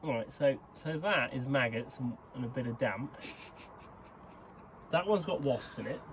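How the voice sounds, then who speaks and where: Young guy, casual self-talk vlog, average pitch, noisy night air behind. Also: lively intonation, unhurried. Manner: chatty, cheeky, thinking aloud while working. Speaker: vlogger mechanic. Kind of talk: casual workshop narration. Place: outdoors at night by an old digger.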